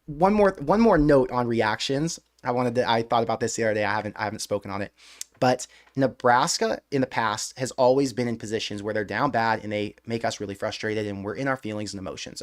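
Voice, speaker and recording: Male live-stream host, casual chat, rambling, excitable, loose mild swearing. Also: mid-range voice.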